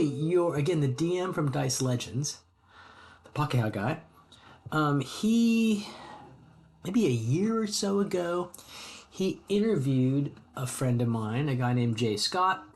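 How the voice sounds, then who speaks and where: A man's voice: medium pitch, slight background noise. An American man chatting casually with his live stream viewers from his home hobby room, rambling and friendly with many restarts. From United States. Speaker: streamer host.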